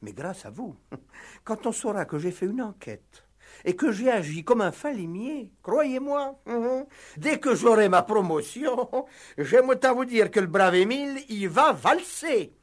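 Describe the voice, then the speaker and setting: An excitable village police sergeant blusters with a very animated, swooping voice. He is pompous and keeps repeating himself, in the manner of a comic 1960s French radio play. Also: sits high, unhurried. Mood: indignant, boastful. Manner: blustering, repetitive, stammering over words. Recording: vintage radio drama recording.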